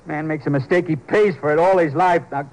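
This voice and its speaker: An actor playing a bitter, defeated ex-convict with an American accent and a gruff working-class drawl, in a 1950s radio drama. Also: male voice, a little high, fairly even intonation, a little fast, natural voice, rough recording. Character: bitter, defeated Texas ex-convict, defensive, loves his wife. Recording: old 1950s radio broadcast recording.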